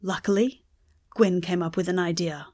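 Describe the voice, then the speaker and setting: A woman's voice: lowish voice, fairly even intonation, normal speed, a little mellow, close to an everyday voice. A woman playing a sincere, hopeful storyteller in an audio drama. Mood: explaining.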